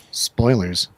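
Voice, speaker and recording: Joking, informal man hosting a chat. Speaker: radio show host and DJ. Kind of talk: casual film review banter.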